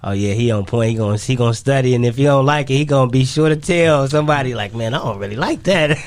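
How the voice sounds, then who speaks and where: A man's voice: medium pitch, fast talker. A man, talking casually and in slang as an interview guest, often repeating phrases for emphasis. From Chicago, United States. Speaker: guest, independent singer and songwriter. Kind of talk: casual radio interview chat.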